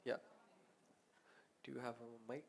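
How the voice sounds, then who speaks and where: A man's voice: medium pitch, little pitch movement, measured pace, gravelly. A man with an Indian accent hosting a live event and quiz in an informal, somewhat hesitant way. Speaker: event host running a quiz. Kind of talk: casual live stage hosting.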